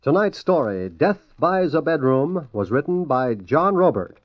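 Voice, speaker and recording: American man, slightly high voice, lively intonation, formal scripted network announcing, old 1950s radio sound. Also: a little slow. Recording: dull, dry 1950s radio recording.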